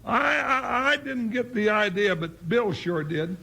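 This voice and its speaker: An actor playing a folksy, good-natured cowboy deputy sidekick with an American Western accent in a 1950s radio drama. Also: male voice, sits high, expressive, unhurried, creaky, bright, forceful tone, breathy edge, full character voice. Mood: folksy, earnest. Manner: folksy drawling cowboy slang. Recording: very noisy old 1950s radio recording.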